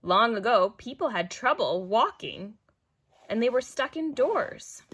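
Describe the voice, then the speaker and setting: A woman's voice: fairly high pitch, expressive, a little slow, a bit bright, slight background noise. A woman voicing an upbeat, reassuring grandmother from a children's storybook, with an encouraging, cheerful manner.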